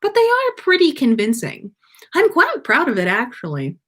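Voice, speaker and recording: A woman's voice: sits high, huge pitch swings, normal speed, a bit bright, pitched above the performer's own voice, heavily acted. A woman voicing a good-humored young male wizard in a storybook reading, sweetly teasing and proud.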